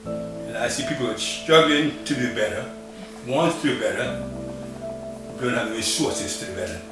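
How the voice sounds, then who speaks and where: A man's voice: mid-range voice, animated, moderate pace. Man, 50s, church youth minister; plainspoken, earnest, heard from afar in a very roomy space with music under. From Camden, New Jersey. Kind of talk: documentary interview. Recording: roomy echo from a distance with music behind.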